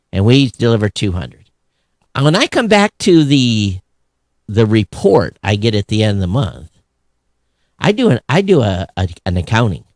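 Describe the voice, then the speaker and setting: A man's voice: medium pitch, dry recording. Emphatic man talking shop, dry close sound. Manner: emphatic, acts out imagined dialogue. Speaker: podcast host. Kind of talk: casual industry discussion.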